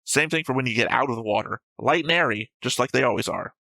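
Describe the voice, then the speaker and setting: A man's voice: mid-range voice, fast talker, vocal fry, bright, forceful tone, no room sound. A man chatting casually as a reaction show host, blunt and argumentative, with frequent swearing. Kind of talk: casual chat.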